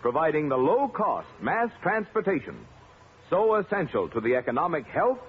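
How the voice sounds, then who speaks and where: American guy, formal old-time radio announcer, lively intonation, tinny 1950s broadcast sound. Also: a little high, normal speed. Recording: narrow, faintly noisy 1950s radio broadcast.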